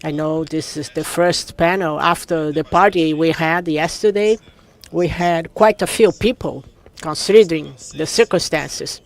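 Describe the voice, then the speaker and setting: High gravelly male interpreter. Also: measured pace. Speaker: conference interpreter. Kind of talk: simultaneous interpretation of a talk.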